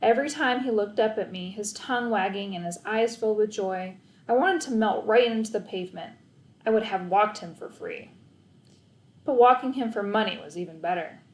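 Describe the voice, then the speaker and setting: A woman reads a children's story aloud with expressive, dramatic phrasing. Her voice sits at a middle pitch. The room adds a clear echo around her words. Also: normal speed. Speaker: read-aloud narrator. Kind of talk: expressive children's audiobook narration, first person.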